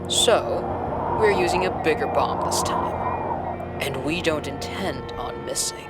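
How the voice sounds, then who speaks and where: A cold, gloating machine overlord, taunting her enemies in a slow, deliberate drawl. She speaks in a sci-fi radio drama with a loud music score swelling behind her. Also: sits low. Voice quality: mocking, taunting. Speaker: Nova Lord villain.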